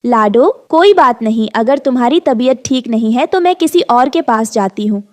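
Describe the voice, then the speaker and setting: A woman's voice: fairly high pitch, expressive, normal speed, darkish tone, no room sound, natural voice. A woman playing a worried, weary expectant mother bird in a cartoon story, polite, pleading and fretful. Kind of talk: animated moral story dub.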